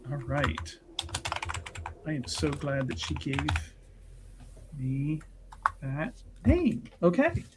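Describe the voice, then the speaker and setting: A tabletop roleplaying player casually improvising narration of a character's actions, animated and giggly, often rephrasing midway. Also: medium pitch, slow, pauses often. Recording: clear background noise.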